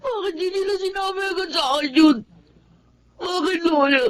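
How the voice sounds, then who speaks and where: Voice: very high voice, normal speed. An actor playing a troubled, remorseful adopted schoolboy in a Filipino radio drama, emotional and prone to stammering. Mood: hurt, ashamed. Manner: emotional, stammering when confronted.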